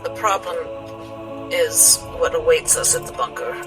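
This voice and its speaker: A man's voice: sits high, lively intonation, a little slow, recorded from a distance, music in the background. A man playing a hurt, loyal, cautious survivor in tabletop roleplay, speaking carefully and hesitantly.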